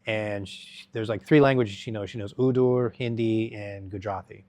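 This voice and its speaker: Low-voiced man talking haltingly. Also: fairly even intonation, a little mellow, dry recording. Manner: rambling, halting, many restarts and fillers. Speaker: guest. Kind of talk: conversational interview storytelling.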